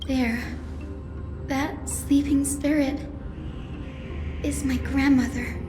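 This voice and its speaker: A woman's voice: high-pitched, flat delivery, takes it slowly. A woman playing a kind, optimistic young priestess in a video game, speaking earnestly. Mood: grave, reflective. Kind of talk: scripted video game voice acting. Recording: echoey game audio with music behind.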